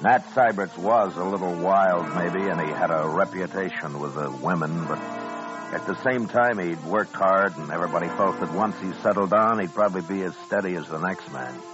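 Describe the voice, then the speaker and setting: An actor playing a steady, firm marshal of the Old West in a radio western, speaking in a measured, plain way. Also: male voice, low voice, lightly acted. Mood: grave narration. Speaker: radio drama lead actor and narrator. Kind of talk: 1950s radio western acting. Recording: dull old radio recording with music.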